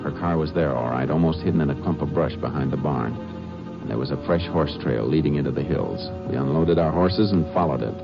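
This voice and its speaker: An actor playing a steady, shrewd Texas Ranger in a 1950s radio drama, speaking in terse, commanding phrases. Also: male voice, a bass voice, brisk, gravelly, over music. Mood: steady, wary. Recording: noisy, muffled old-time radio recording.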